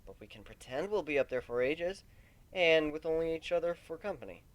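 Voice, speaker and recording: A male pegasus pony from a cartoon fan story, as a man reads him. He is wry and gently teasing, with fondness underneath. The voice is lightly pitched for a man and moves around a lot. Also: animated, brisk, no echo, lightly acted.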